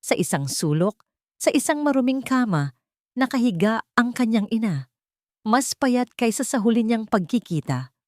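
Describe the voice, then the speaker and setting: A woman's voice: a little high, very animated, normal speed, darkish tone, no room sound, clean studio sound. A woman narrating a scripted audio story in a dramatic storytelling style.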